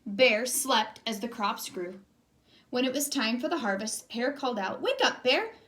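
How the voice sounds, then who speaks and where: A woman's voice: a little high, normal speed, a bit bright. A woman teacher reading a children's storybook aloud expressively, speaking directly to young listeners.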